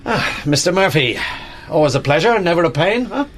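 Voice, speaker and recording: An actor with an Irish accent playing a newspaper editor, a loyal but cautious friend, in a naturalistic radio play. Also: male voice, on the high side, very animated, a little fast, a bit bright. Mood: jovial, teasing.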